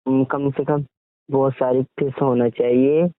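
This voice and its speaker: A man's voice: medium pitch, flat delivery, unhurried, clean tone, dry recording. Young man on a muffled phone call. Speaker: boyfriend on a call with his girlfriend. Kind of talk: casual intimate phone chat. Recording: muffled, band-limited phone call recording.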